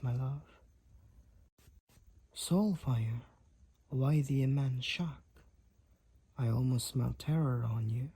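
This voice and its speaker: Man playing a husky, slow-talking, devoted vampire boyfriend. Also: mid-range voice, dark tone. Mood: earnest, tender. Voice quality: breathy, husky. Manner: archaic, formal, tender, slow and deliberate wording. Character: devoted, overprotective, courtly male vampire boyfriend. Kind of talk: intimate scripted boyfriend audio roleplay.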